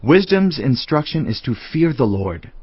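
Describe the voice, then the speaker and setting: A man giving a dramatised reading of scripture in a solemn, measured delivery. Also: mid-range voice, big pitch swings, dry recording, lo-fi sound. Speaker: audio Bible reader. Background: clear background music.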